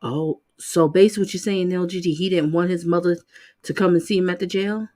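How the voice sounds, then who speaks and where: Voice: mid-range voice, fairly even intonation, normal speed, a little mellow. A livestream host giving opinionated, conversational commentary in a casual style. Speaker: host of a livestream reaction channel.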